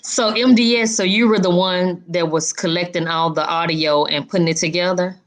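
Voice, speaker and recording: A woman's voice: on the low side, fairly even intonation, moderate pace. Woman hosting a casual interview. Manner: interjects short affirmations. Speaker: interviewer, show host.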